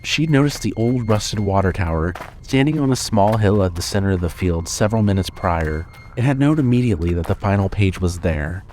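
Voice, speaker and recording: A man's voice: sits low, expressive, fairly quick, hardly any pauses, a little mellow, a little hiss or hum. A man reading scripted horror fiction as the narrator of an audio drama.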